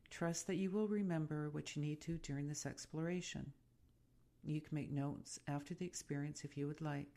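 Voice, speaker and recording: Low-pitched, creaky woman guiding meditation. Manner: soothing, unhurried, gently instructive. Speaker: meditation guide and podcast host. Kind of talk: guided meditation narration.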